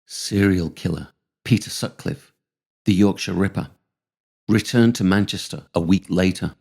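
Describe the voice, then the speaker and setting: A man narrating a scripted first-person account as its author, in measured, literary storytelling. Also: low voice, vocal fry, a little mellow, breathy edge.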